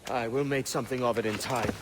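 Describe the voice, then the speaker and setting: Video game lead: male actor as roguish pirate captain, wary and pragmatic, mid-pitched conversational voice over clear ambient noise. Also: steady tone, quick pace, lightly acted. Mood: reflective. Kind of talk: naturalistic video game acting.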